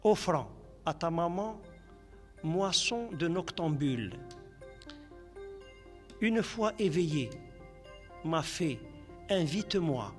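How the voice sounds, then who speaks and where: A man's voice: fairly high pitch, expressive, slow pace, pauses often, in a large hall, faint music behind. A man from Algeria, a poet, speaking in a radio interview and reciting his poems in a lyrical, grandiloquent way. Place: radio studio.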